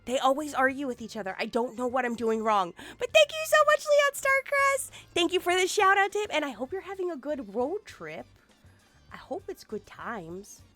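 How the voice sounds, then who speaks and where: A woman's voice: sits high, animated, moderate pace, pushed and bright, soft background music. A woman streaming a game and chatting casually with her viewers, sounding nasal and sniffly from congestion. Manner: bubbly, playful, chatty with viewers.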